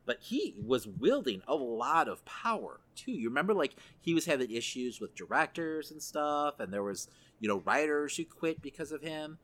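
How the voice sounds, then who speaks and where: A man's voice: fairly high pitch, very animated, moderate pace, vocal fry, hardly any pauses, fairly bright tone. A man co-hosting a podcast, chatting casually through entertainment news. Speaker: podcast co-host.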